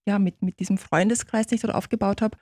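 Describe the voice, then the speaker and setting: Woman with mellow, dry studio voice. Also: mid-range voice, fairly even intonation, fast, slightly rough, dry recording. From Turkey. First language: Turkish. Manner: friendly, explanatory, often confirms with "genau". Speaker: guest author. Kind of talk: radio interview.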